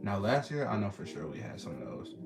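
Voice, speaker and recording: A man's voice: fairly low pitch, big pitch swings, a little fast, creaky. A young man with a Texan accent, hosting a casual live stream and chatting with callers in a laid-back, slangy way. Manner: laid-back, slangy, reading and answering chat. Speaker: streamer host. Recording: loud music playing behind.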